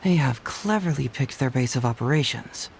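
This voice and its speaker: An actor playing a young male detective in a video game, quick-witted, easygoing and teasing. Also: medium pitch, lively intonation, vocal fry, a little hiss or hum. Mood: easygoing, playful. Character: young male detective, sharp and playfully confident. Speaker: detective character.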